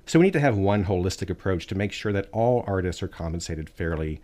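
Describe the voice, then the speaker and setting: Deep-voiced man arguing earnestly. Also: lively intonation, quick pace, a little mellow. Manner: earnest, emphatic. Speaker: guest, artists' rights advocate. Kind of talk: interview answers.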